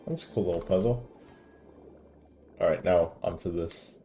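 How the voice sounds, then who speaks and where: A man's voice: low-pitched, moderate pace, warm tone, soft background music. A man giving casual gameplay commentary, talking to himself and to the game as he plays. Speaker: gamer playing and reading game dialogue aloud.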